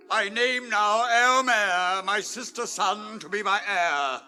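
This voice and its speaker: Proud old king, ringing and slow. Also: high-pitched, pushed and bright, a little hiss or hum, heavily acted. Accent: British. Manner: declamatory, solemn, regal. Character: proud old warrior king, resolute and commanding. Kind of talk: theatrical fantasy radio play acting.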